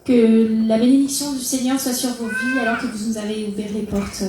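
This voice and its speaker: A woman's voice: a little high, steady tone, brisk, background noise. A woman interpreter promptly relaying a preacher's words phrase by phrase, with small restarts. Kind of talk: live consecutive interpreting. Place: church hall. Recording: very echoey hall.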